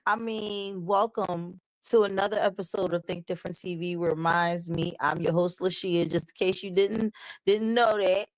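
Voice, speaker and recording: A woman hosting a live talk show, chatting upbeat with her viewers while her voice is still slightly hoarse. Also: lowish voice, steady tone, moderate pace, no room sound, poor audio. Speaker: host. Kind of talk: casual live show chat.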